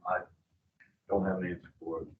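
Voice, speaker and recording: A man speaking quietly and haltingly while answering questions at a treatment intake. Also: low voice, little pitch movement. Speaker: client entering addiction treatment. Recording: dull noisy distant audio in an echoey room.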